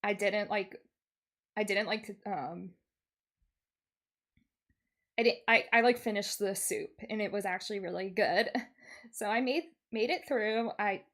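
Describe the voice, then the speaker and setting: A woman livestreamer chatting casually and telling stories in an animated, giggly, expressive way. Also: mid-range voice. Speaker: streamer, VTuber host.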